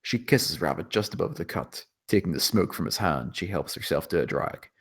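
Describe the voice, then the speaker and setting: A man's voice: deep voice, expressive, normal speed, a bit bright. A man reading scripted stage directions as the narrator of a screenplay table read.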